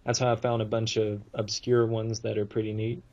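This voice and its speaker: A man's voice: lowish voice, level tone, brisk, darkish tone, highs cut off. A man chatting casually as a guest on a talk podcast, telling rambling stories in loose, colloquial grammar. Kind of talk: casual chat.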